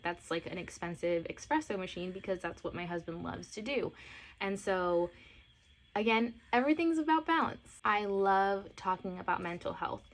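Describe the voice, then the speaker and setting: A woman in her late twenties talking to camera in a casual vlog, gushing and a little teary, with many fillers. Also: lowish voice. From born in Costa Rica, grew up in Miami. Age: 20s. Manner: emotional, gushing, heavy on fillers. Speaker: lifestyle and mom vlogger.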